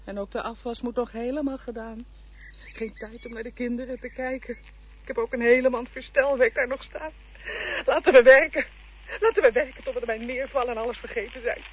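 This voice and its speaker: A woman's voice: fairly high pitch, steady tone, brisk, husky. A woman playing a worn-out, bitter mother. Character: weary overworked mother darning socks. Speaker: actor in a radio play. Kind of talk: radio drama acting. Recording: dull lo-fi recording with faint hiss.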